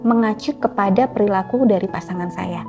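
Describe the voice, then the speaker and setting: A woman's voice: medium pitch, brisk, darkish tone. Middle-aged lady telling her story in an interview, earnest n explaining, careful phrasing, bit of music behind. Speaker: interview guest; author. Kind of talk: personal testimony in an interview.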